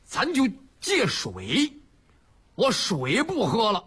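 Male storyteller as hot-headed outlaw brute, high-pitched and husky, blunt, blustering, plaintive. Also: wildly swooping pitch, slow pace, full character voice. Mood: protesting. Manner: loud, blunt, wailing and pleading. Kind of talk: traditional Chinese storytelling performance.

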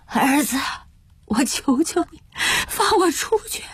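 A woman narrator voicing a desperate opium-addicted father in withdrawal, hoarse and strained, pleading and shouting. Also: extremely high pitch, lively intonation, slow, dry recording, pitched above the performer's own voice, full character voice. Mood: desperate begging.

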